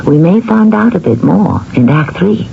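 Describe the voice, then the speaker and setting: A man's voice: high-pitched, sing-song, normal speed, creaky, heavy background noise. A man hosting an old-time radio mystery, narrating from a script in a musing, theatrical way full of rhetorical questions. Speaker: host and narrator. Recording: muffled vintage radio broadcast. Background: music.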